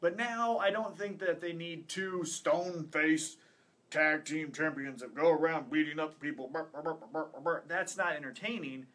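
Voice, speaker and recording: A man in his thirties with a bright, forceful voice pitched a bit high. He talks casually as a podcast co-host, running through picks and reasoning in a conversational way. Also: moderate pace. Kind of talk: casual wrestling predictions chat. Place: small indoor studio with acoustic foam panels.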